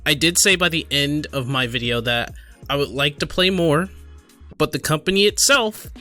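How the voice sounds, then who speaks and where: A man giving casual, unscripted gaming commentary and reading aloud, in a halting, apologetic manner. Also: a little high, bright, forceful tone, soft background music. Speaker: gaming video creator.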